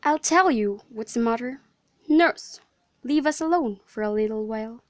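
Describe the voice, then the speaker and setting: A woman's voice: a little high, normal speed, dry recording, clean studio sound, lightly acted. A woman playing an impatient, commanding noble mother in an amateur radio drama.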